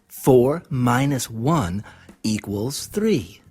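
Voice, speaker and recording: Woman, children's read-aloud narrator, lively swings in pitch, very slow delivery, expressive, music behind. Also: slightly rough. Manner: expressive, deliberate, asks listeners questions. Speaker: storybook reader.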